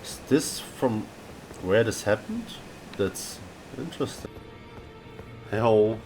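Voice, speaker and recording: A man's voice: lowish voice, expressive, slow pace, low-quality recording. Casual male gamer with music behind. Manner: short informal reactions. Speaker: gamer playing through a fantasy game. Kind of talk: casual playthrough commentary, reading on-screen text. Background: game music.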